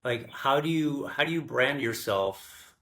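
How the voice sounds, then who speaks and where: A man hosting an interview, asking questions in a halting way with many restarts. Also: medium pitch, animated, sharp tone. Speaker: podcast host. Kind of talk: casual interview.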